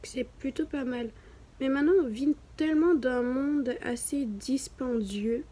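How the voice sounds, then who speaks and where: Young woman with a southern French accent for a casual home vlog, light and higher voice, rambling and self-mocking. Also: unhurried, dark tone. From southern France. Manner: rambling, self-mocking, chatty with many fillers. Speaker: beginner vlogger. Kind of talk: casual chat to camera.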